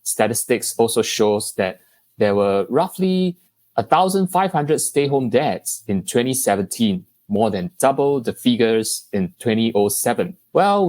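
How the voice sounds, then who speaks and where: A man hosting a podcast, reading a scripted introduction in a measured, polished way. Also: medium pitch, darkish tone. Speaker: podcast host.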